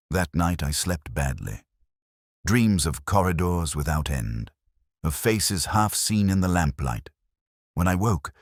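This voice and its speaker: Male narrator for literary detective fiction: extremely low voice, expressive pitch movement, measured brooding delivery, dry recording. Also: husky, no room sound. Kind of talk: scripted first-person detective story narration.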